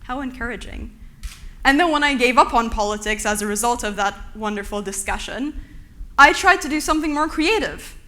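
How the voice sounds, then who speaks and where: A young woman from Turkey giving an earnest, measured prepared talk to an audience. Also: fairly high pitch, animated, fairly bright tone. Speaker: speaker.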